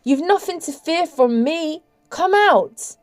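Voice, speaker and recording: A woman voicing a male vampire, a dark angel and cruel master given to coaxing and commanding in a storybook. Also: high voice, unhurried, pitched above the performer's own voice. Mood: coaxing, sweet. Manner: sweetly coaxing turning to commanding shouts.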